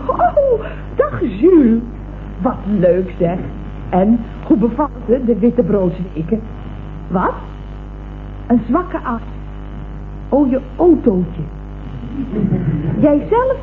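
A woman's voice: a little high, huge pitch swings, drawn-out words, long pauses, full character voice. An actress playing a chatty, tactlessly blunt female office employee in a vintage Dutch radio comedy. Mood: chatty, gushing. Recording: muffled, noisy vintage radio recording.

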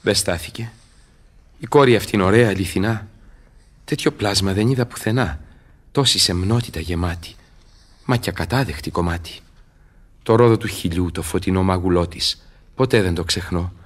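An actor in a radio drama playing a haughty, impatient, ardent seducer with a declamatory delivery. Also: male voice, sits low, pauses often. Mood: smitten. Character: learned man turned impatient, ardent, imperious seducer. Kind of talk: theatrical radio drama acting.

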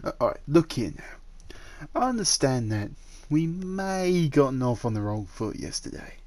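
Male actor as possessive dragon suitor in human form, mid-pitched, gently coaxing, halting, for roleplay audio drama. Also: sing-song. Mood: tender, apologetic. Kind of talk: roleplay ASMR audio drama, addressing the listener.

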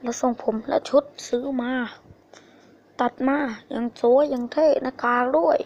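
A woman improvising a story with acted character lines, speaking haltingly and repeating phrases, on an amateur phone recording. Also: sits high, steady tone, darkish tone, lo-fi sound.

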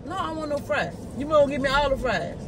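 A woman's voice: sits high, big pitch swings, fast, gravelly, a crowd in the background, noisy background. A young woman in a parked car insistently pleading with her husband for his food, recorded on a dashboard camera. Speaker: wife in a prank video. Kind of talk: casual bickering. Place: inside a car.

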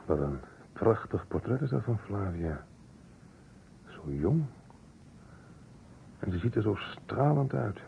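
An observant journalist in a radio drama, with a fairly low voice. It sounds like an old broadcast, slightly dull with faint noise. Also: male voice, sing-song, takes it slowly, gravelly, pauses often, exaggerated voice. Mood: uneasy, observant. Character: male journalist assisting an investigation, observant, uneasy. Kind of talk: Dutch radio play acting.

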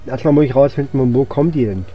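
A man's voice: medium pitch, fast talker, husky, lo-fi sound. A man gives casual, rambling video game commentary, thinking aloud as he plays. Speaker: gaming channel host. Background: game music and sound effects.

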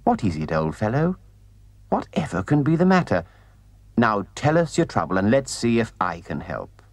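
Man playing a hearty, lively chummy rat. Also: lowish voice, dry recording. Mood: kindly, gentle. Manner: hearty, chummy, briskly reassuring. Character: brisk, cheerful, kindly male rat, chummy old friend. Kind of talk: storybook character reading.

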